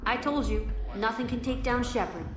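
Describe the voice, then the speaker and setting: A woman's voice: on the high side, moderate pace, background noise, lightly acted. A woman playing a confident, loyal ship engineer in a video game, speaking in an assured, sincere way. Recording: roomy echo, music behind.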